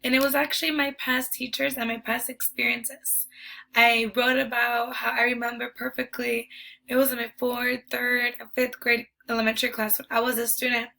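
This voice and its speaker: Bright-voiced young woman losing her voice. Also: fairly high pitch, flat delivery, normal speed, vocal fry, poor audio. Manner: chatty, many ums and likes. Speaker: student teacher. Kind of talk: casual presentation video.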